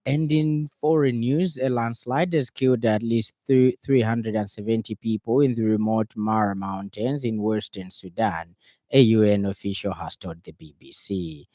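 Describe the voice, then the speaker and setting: A man with a Zambian accent reading a scripted radio news bulletin in a formal, measured way. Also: lowish voice, warm tone, no room sound. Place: radio studio.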